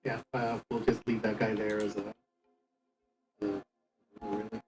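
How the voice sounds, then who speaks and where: A man playing a tabletop game, chatting casually in a halting, tentative way. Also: on the low side, flat delivery. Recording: music clearly audible behind. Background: background music.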